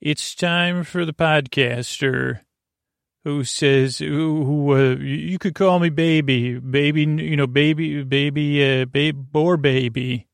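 A man hosting a sleep podcast in a creaky, mumbling, soothing voice, rambling haltingly with many fillers and restarts. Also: a little high, steady tone, dry recording, studio quality. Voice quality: mumbling, mushy-mouthed. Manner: lulling, soothing, meandering. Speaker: podcast host.

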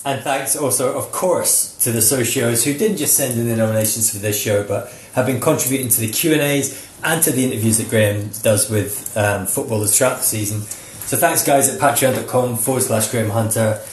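A man hosting a podcast, wrapping up the show in a measured and courteous way and thanking his co-hosts and listeners. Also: medium pitch. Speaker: podcast host. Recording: roomy echo with faint background noise.

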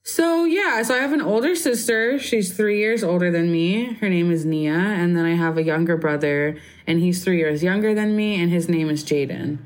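A woman in her twenties from Barbados talks through her story in a relaxed, meandering way. Her voice sits fairly low. Her sentences wander along, padded with "like" and "um". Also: moderate pace, few pauses. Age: 20s. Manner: relaxed, rambling, heavy use of "like" and "um". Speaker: interviewee. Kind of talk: casual conversational interview.

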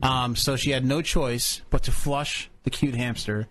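A man's voice: medium pitch, steady tone, a little slow, a bit bright, a little hiss or hum. Rambling man hosting a podcast. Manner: rambling, filler-heavy, crude joking. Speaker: podcast host. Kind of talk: casual chat.

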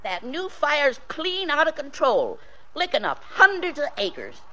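A woman's voice: high-pitched, huge pitch swings, unhurried, bright, forceful tone, muffled recording, full character voice. An actress playing a chatty, folksy drive-in proprietress in an old radio drama, garrulous and rustic in her grammar. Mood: gossipy, excited.

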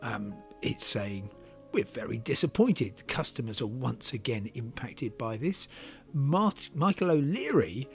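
A man hosting a podcast, giving a semi-scripted news commentary in a measured, explanatory way, with hesitant pauses and emphatic stresses. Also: medium pitch, lively intonation, vocal fry, soft background music. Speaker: podcast host and travel commentator.

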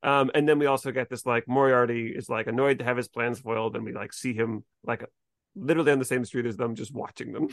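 A man hosting a podcast in casual chat, phrasing things informally and a bit haltingly. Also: medium pitch, steady tone, dry recording, clean studio sound. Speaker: podcast host.

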